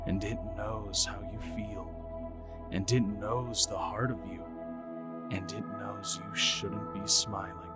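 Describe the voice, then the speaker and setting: A man giving a dramatic spoken-word reading of a horror poem in a slow, eerie, menacing manner. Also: lowish voice. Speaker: poetry reader. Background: ambient music.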